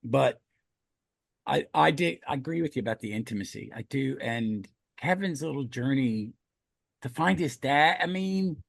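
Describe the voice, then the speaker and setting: A man's voice: medium pitch, normal speed, creaky, no echo. A man hosting a podcast in casual review chat, talking in a rambling, enthusiastic way. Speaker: podcast host.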